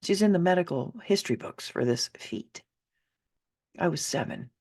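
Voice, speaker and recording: Low-voiced woman, dramatic memoir narrator. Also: expressive, moderate pace, a little mellow, husky. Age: 50s. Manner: theatrical, emotive, emphatic. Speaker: author reading her own memoir. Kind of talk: dramatic first-person audiobook narration.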